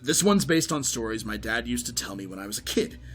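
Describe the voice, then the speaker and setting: An actor playing an earnest, easily flustered security guard in an audio drama, defensive and hesitant. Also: male voice, mid-range voice, lively intonation, brisk, a bit bright, close to an everyday voice. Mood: sheepish, guarded.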